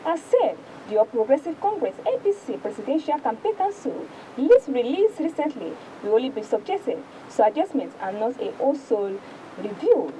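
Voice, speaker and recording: A woman's voice: sits high, very animated, normal speed, warm tone, a little hiss or hum, rough recording. A woman with a Nigerian accent presenting a newspaper review, reading formally aloud with occasional stumbles. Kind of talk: news reading and paper review.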